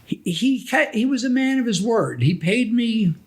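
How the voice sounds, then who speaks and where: High-voiced American man telling stories. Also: lively intonation. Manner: halting, conversational storyteller. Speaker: podcast guest. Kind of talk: casual reminiscing interview talk.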